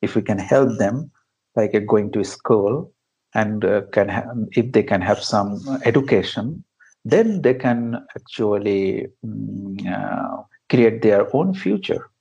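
Slow gravelly man from Bangladesh. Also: lowish voice, lively intonation, a little mellow, muffled recording. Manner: hesitant, many fillers and restarts, non-native phrasing. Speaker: interview guest, founder of an education project. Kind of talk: conversational interview answers.

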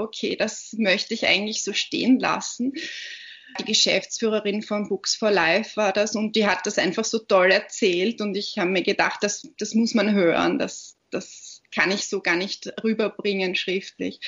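Austrian woman, podcast guest, measured and reflective; mid-pitched, slightly dull recording. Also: fairly bright tone. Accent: Austrian German. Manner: thoughtful, searching for words. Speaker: podcast guest, literary platform founder. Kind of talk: conversational interview answers.

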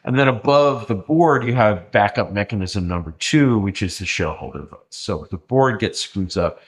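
A man explaining in a lecturing way in conversation, often pausing with ums and restarting his sentences. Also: on the low side, expressive. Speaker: interview guest.

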